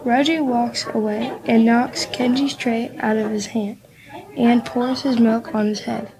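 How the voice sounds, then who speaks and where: A woman's voice: a little high, fairly even intonation, unhurried, crowd noise, rough recording. A female narrator reading scripted scene descriptions for an amateur radio play.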